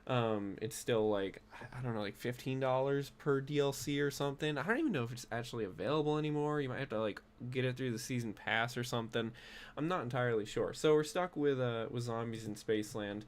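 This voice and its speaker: A man's voice: medium pitch, fairly quick, clean tone. A young man with a stuffy nose, chatting casually and rambling with many fillers while he streams a game. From United States. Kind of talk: casual gaming stream chat.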